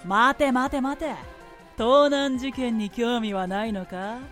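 An imperious, scheming man played by a woman in a radio drama, pitched high. The speech is blunt, masculine and commanding. Soft music sits underneath. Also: steady tone, slow. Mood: brusque.

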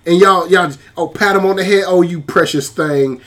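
Animated joking man ranting in banter. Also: high-pitched, normal speed. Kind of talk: casual podcast banter.

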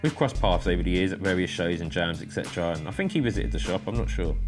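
A man's voice: deep voice, expressive, fast. A man hosting a podcast in a studio, chatting casually with slang and swearing. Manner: slangy, laid-back, swears freely. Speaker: podcast host. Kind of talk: casual interview chat. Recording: music behind the voice.

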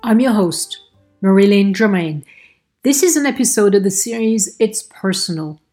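A woman presents an interview podcast in a prepared, scripted style. Her pitch sits in the middle range for a woman. Faint music plays behind her. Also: expressive, normal speed, darkish tone.

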